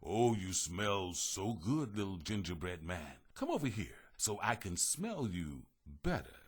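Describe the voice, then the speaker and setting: A man voicing a greedy horse who coaxes slowly and quietly. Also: low-pitched, sing-song, breathy edge, full character voice. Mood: coaxing. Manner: slow, quiet coaxing. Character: greedy hungry horse, coaxing.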